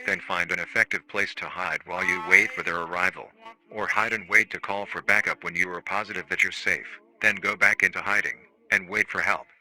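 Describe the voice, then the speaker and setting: A man playing a formal, matter-of-fact research-facility narrator on an analog horror staff training tape, calmly chilling and euphemistic. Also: deep voice, poor audio.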